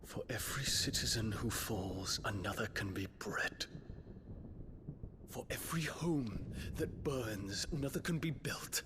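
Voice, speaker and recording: A man's voice: mid-range voice, a little slow, close to an everyday voice. A man playing an earnest, rebellious young prince in a video game, speaking in a solemn, formal, oratorical manner. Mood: solemn, accusing. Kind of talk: dramatic video game voice acting. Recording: clear background noise of scene ambience.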